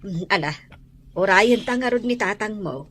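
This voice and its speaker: A woman's voice: medium pitch, lively intonation, moderate pace, fairly bright tone. A woman acting a caring, anxious rural mother in a radio drama, fretful and coaxing, with a Filipino accent. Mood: concerned, curious. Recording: radio drama with noisy ambience.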